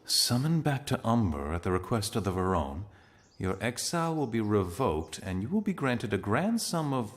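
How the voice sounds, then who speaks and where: An actor playing a stern, weary military commander in a video game, speaking in a formal, commanding, clipped way. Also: male voice, fairly low pitch, sing-song, moderate pace. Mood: suspicious, dry. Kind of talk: scripted video game acting. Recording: game music behind the voice. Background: music.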